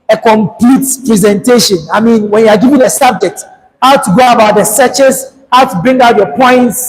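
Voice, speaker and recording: A man with a very high, light voice, giving a public speaking workshop into a handheld mic in a lecture hall. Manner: direct, coaching, urges the group to hurry. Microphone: handheld microphone. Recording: long hall reverb, faint background noise.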